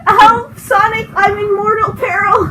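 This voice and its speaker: A woman's voice: very high voice, level tone, a little slow. A woman voicing a helpless, whiny young male fox sidekick in an amateur comedy cartoon fandub. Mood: panicked, pleading. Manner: whiny, melodramatic. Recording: faint background noise.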